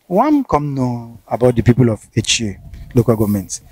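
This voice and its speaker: Probing Nigerian interviewer with a pidgin accent. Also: male voice, medium pitch, very animated, normal speed, a little mellow, a little hiss or hum, poor audio. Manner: probing, repeats his questions. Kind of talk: casual street interview.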